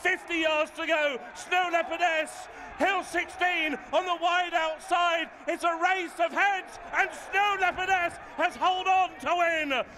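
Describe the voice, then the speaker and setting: A man's voice: very high-pitched, fairly even intonation, unhurried, few pauses, big-room sound, crowd noise, noisy background. A man giving live horse racing commentary as a fluent running call in clipped phrases.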